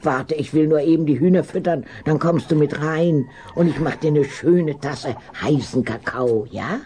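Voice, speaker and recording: A woman's voice: low-pitched, normal speed, warm tone, close to an everyday voice. An actress playing a kindly, motherly country cook in a children's audio drama, homely and coaxing in manner. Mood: tender. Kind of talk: 1970s German children's audio drama acting. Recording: noisy vinyl rip, farmyard ambience.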